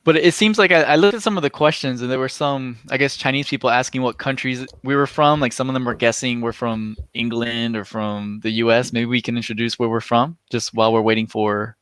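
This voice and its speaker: A man's voice: medium pitch, steady tone, fairly quick, few pauses, a bit bright, clear, resonant tone, no echo. A young man from the United States, easygoing and loose, chatting on a video call. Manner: easygoing, loose, says like often. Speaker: guest on a live chat. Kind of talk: casual group chat.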